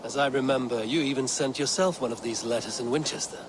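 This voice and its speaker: An actor playing a steadfast Viking warrior leader with a measured, direct delivery in a game drama. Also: male voice, mid-range voice, noisy background, lightly acted. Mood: probing. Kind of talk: dramatic game cutscene acting.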